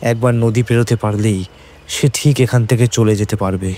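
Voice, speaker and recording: A man's voice: lowish voice, steady tone, moderate pace, mellow. A man narrating a scripted horror story in a dramatic storytelling style. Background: background music.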